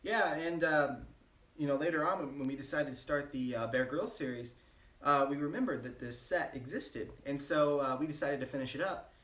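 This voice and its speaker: Deadpan rambling man, echoey room. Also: fairly high pitch, moderate pace. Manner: deadpan, rambling with many fillers. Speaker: channel co-host. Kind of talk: mock-serious joke interview. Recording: roomy, distant mic.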